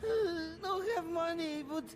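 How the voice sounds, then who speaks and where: A terrified Polish farmer. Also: male voice, very high-pitched, steady tone, normal speed, music in the background. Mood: pleading. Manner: broken English, pleading, panicky. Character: frightened poor male Polish smallholder. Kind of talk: video game cutscene acting.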